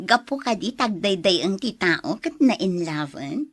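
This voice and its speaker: A woman's voice: medium pitch, lively intonation, slow pace. A woman playing a bashful young woman in an audio drama, coy and hesitant as she protests. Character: bashful young daughter, coy about love.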